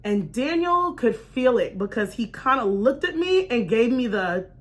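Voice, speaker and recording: A woman talk show host telling a personal story in an expressive, chatty, informal way, acting out bits of dialogue and checking in with her listeners. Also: on the high side, unhurried. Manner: punctuates points with check-in questions like "right?". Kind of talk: animated personal storytelling to an online audience.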